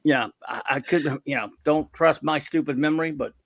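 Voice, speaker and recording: A man's voice: fairly high pitch, moderate pace, vocal fry, a bit bright, no room sound. A man hosting a casual livestream chat over a video call, rambling and hesitant, often poking fun at his own memory. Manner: rambling, hesitant, self-deprecating. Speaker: show host.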